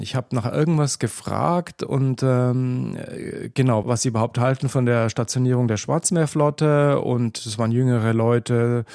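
Gravelly-voiced man in a dry studio. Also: medium pitch, a little mellow, dry recording. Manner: thoughtful, explanatory, some hesitations. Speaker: reporter interviewed as guest. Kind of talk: conversational radio interview.

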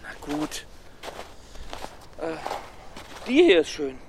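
An actor playing a timid, earnest, hesitant young scholar in a children's radio play. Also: male voice, on the high side, wildly swooping pitch, extremely slow, slight background noise, full character voice. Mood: hesitant, flustered.

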